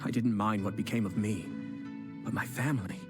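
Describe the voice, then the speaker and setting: An actor playing a poised young clan head and cunning strategist, speaking in a measured, courteous way in video game dialogue. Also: male voice, fairly low pitch, steady tone, natural voice. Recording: game dialogue with music underneath.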